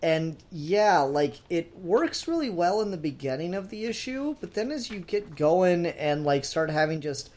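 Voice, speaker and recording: A man's voice: sits high, sing-song, unhurried, hardly any pauses, darkish tone. A man talking casually as a podcast co-host in a review discussion, in a hesitant, hedging, conversational way.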